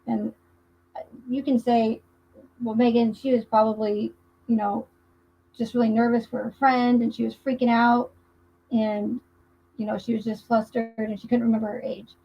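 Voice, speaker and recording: A woman's voice: a little high, breathy edge. A woman hosting a livestream, giving casual commentary in a conversational, emphatic way that repeats points for emphasis. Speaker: livestream host. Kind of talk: casual livestream commentary on a true crime case. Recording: muffled, dull lo-fi livestream audio.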